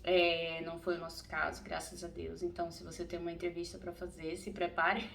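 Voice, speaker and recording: A woman's voice: fairly low pitch, monotone, fairly quick, vocal fry, a bit bright. A middle-aged Brazilian woman telling a personal story in a casual, chatty vlog, laughing often as she goes. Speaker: vlogger. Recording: distant mic, faint ambient noise.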